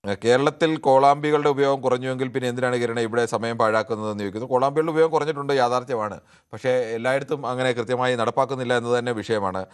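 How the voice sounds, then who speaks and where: A man's voice: medium pitch, fast, clean tone. A man hosting a live discussion show, reading out viewer comments and answering them argumentatively. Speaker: TV phone-in discussion host.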